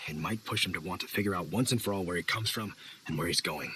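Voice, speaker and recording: A man's voice: deep voice, brisk, sharp tone, a little hiss or hum, poor audio. A man playing a friendly, caring middle-aged hunter in a video game, talking in a thoughtful, fatherly, conversational way. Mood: thoughtful, concerned. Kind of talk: video game character acting.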